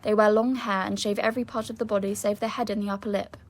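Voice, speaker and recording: A woman's voice: medium pitch, level tone, fast. Young woman reading formally and steadily. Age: young adult. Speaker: student reader. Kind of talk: dramatic reading of a historical text.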